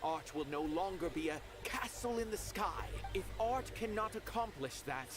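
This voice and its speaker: A man's voice: high-pitched, lively intonation, normal speed, over music. An actor playing a lofty, brooding male scholar of art in a video game, with formal, theatrical, old-fashioned phrasing. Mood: lofty, reflective. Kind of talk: video game character acting.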